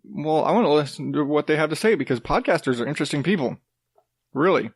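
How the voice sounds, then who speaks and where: Rambling deep-voiced man hosting a podcast. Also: animated, fast, breathy edge, no echo, studio quality. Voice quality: rather deep voice. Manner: rambling, loose, with swearing and self-interrupting restarts. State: coming down with a cold. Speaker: podcast host. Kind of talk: casual solo podcast talk.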